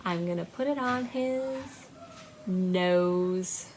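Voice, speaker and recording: A woman hosting a storytime for young children, speaking in a deliberate, singsong way. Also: fairly low pitch, darkish tone, poor audio. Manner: singsong, deliberate, repetitive phrasing for small children. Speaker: children's library storytime host. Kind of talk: storytime read-aloud and simple activity for young children.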